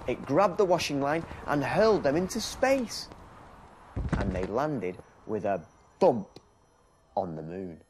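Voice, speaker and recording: A British man in his thirties reading a children's bedtime story in a gentle, deliberate, expressive storytelling style. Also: mid-range voice, background noise. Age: 30s. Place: TV studio set.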